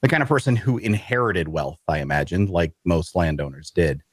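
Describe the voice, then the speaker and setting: An actor playing an awkward, bookish loner adventurer who narrates an audio drama in a wry way. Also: male voice, deep voice, fairly quick, husky, dry recording, clean studio sound. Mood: wry narrating. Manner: wry, hesitant in conversation. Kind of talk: first-person narration and dialogue in audio drama.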